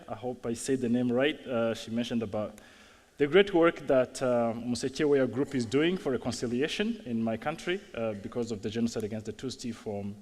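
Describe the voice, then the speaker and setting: A man's voice: medium pitch, darkish tone. A man from Rwanda, an architect, giving a conference talk, hesitant with many ums and uhs and wry asides. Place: large hall on stage. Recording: long hall reverb.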